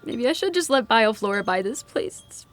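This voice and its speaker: A woman's voice: fairly high pitch, animated, normal speed, soft background music, close to an everyday voice. An actress playing a grieving, anxious young farm woman in an audio drama, suspicious and defiant. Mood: dismayed, weary.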